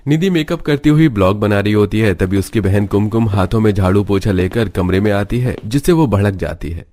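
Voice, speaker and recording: A man's voice: fairly low pitch, brisk, warm tone. A man reading scripted storybook narration for a cartoon family tale.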